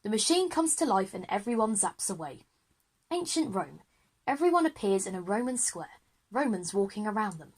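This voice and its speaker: A woman's voice: mid-range voice, very animated, normal speed. A woman narrating a scripted children's audio drama, reading out scene settings and action.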